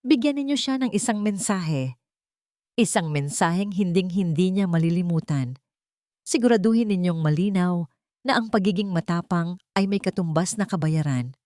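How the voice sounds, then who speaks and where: Woman reading as a cold scheming uncle, low-pitched. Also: animated, moderate pace, a little mellow, no room sound, clean studio sound, natural voice. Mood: cold, commanding. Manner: cold, menacing, murmuring. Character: cold, mocking, scheming rich uncle, a villain.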